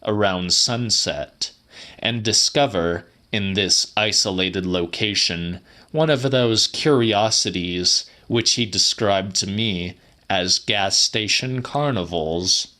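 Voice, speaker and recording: A man narrating a literary audiobook in a measured, deliberate, dramatic style. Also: lowish voice, bright, forceful tone.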